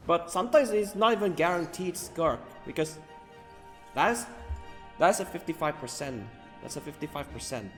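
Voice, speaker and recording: A man giving casual live gaming commentary, thinking aloud haltingly and repeating his phrases. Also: a little high, expressive, fairly bright tone. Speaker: game streamer. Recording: roomy echo, faint game music behind.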